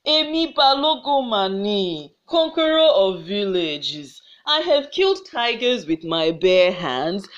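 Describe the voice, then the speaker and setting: A woman with a Nigerian accent voicing a pompous, boastful old male war chief. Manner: bragging, booming. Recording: slightly muffled lo-fi recording.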